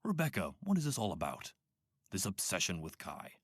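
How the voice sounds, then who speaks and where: Actor as low, husky, calculating institute doctor. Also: male voice, huge pitch swings, no room sound, clean studio sound, full character voice. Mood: smooth evasive. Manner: measured, clinical, coaxing. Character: calm, manipulative, sinister male doctor running an institute. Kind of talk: radio drama acting.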